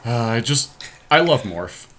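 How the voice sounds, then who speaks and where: A man's voice: mid-range voice, sing-song, unhurried, a bit bright. A man casually thinking aloud as he co-hosts a card game commentary, in an informal, mildly profane way. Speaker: podcast co-host playing a card game. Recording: amateur capture with faint background noise.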